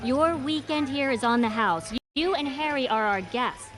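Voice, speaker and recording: Actress as eager lodge hostess, higher female voice, gracious and effusive, radio drama over music. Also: normal speed, lightly acted. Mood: hospitable. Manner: eager, hospitable. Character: effusive, gracious woman hosting guests at her lodge.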